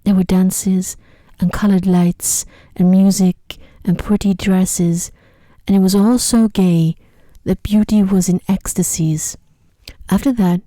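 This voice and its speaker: Woman, soft-spoken storyteller, very mellow and dark tone, low-pitched, gentle, close dry sound. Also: no room sound. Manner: gentle, hushed, often slipping into whisper. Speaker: storyteller, ASMR sleep story reader. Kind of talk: soft-spoken ASMR bedtime story narration.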